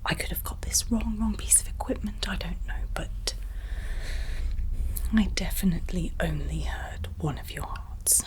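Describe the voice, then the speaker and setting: A woman voicing a friendly, earnest alien medical examiner in a soft breathy ASMR whisper, polite and reassuring. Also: on the low side, natural voice, low-quality recording. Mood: reassuring, curious. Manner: gentle, polite, unhurried, reassuring. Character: friendly, earnest alien medical examiner, bumbling self-styled Earth expert.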